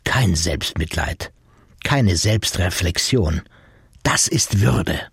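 A man reading dramatic literary narration as an audiobook narrator, with a very raspy voice. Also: low voice, lively intonation, slow, a bit bright, dry recording, studio quality.

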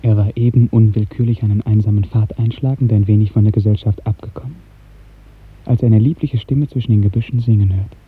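A man narrating a literary 1950s radio drama in a measured, precise storytelling manner. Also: fairly low pitch, fairly even intonation, breathy edge. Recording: narrow-band 1950s radio recording, faint music.

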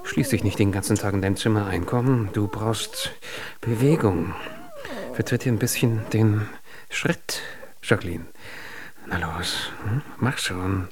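An actor playing a contemptuous courtier who insults as he flatters, florid and biting, in an absurdist radio drama. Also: on the low side, a little slow, crowd noise, natural voice. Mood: bitter.

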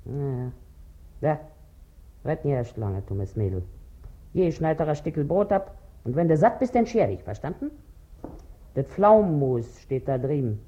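A woman's voice: low-pitched, big pitch swings, a little slow, long pauses, full character voice. An actress playing a shrewd, middle-aged working-class mother with an eastern German dialect, scolding and sarcastic in 1950s radio drama style. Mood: gruff scolding. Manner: earthy, sharp-tongued, commanding. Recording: dull, noisy 1950s radio recording.

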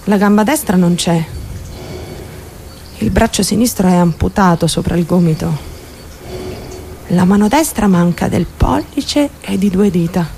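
A woman's voice: on the low side, unhurried, gravelly, long pauses, mellow, slight background noise. An actress playing a troubled, obsessive and fearful young woman in a radio drama monologue, intense and questioning herself as she confides in onlookers. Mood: appalled fascination. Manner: intense, self-questioning, shifting suddenly in tone.

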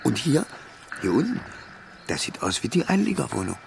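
An actor playing a lonely, melancholy junior soldier in an audio drama, speaking in a hesitant, meek and halting way. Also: male voice, medium pitch, wildly swooping pitch, creaky, a little hiss or hum. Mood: wistful.

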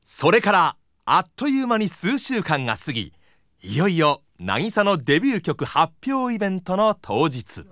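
A man's voice: sits high, wildly swooping pitch, normal speed, a bit bright, dry recording, full character voice. A man acting as the dramatic narrator of a Japanese comedy audio drama, in a mock-grandiose announcer style. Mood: grand, dramatic. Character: dramatic male narrator of a comic saga.

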